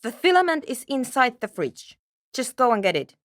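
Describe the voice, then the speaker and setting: A woman voice actor playing a driven, intellectual woman programmer in a video game, with a brisk, matter-of-fact, technical way of talking. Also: a little high, sing-song, dry recording, clean studio sound. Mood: businesslike, impatient. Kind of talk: scripted game character dialogue.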